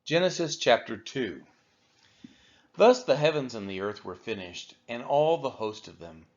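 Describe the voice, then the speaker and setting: A man reading a Bible chapter aloud for a church, measured and falling at the end of each phrase. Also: medium pitch, animated. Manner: measured, falls at phrase ends. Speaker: church scripture reader. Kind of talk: steady scripture reading. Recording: dull lo-fi audio.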